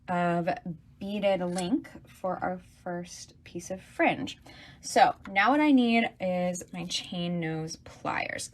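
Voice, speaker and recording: Chatty woman teaching beadwork. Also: fairly low pitch. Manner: chatty and enthusiastic, with fillers and asides. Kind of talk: casual livestream tutorial.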